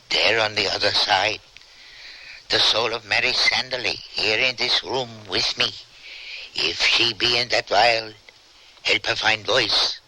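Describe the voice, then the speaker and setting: An actor playing a solemn spirit medium doctor leading a seance, speaking slowly and formally in old-time radio drama style. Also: male voice, fairly low pitch, bright, forceful tone, heavily acted, rough recording. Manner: slow, incantatory, formal.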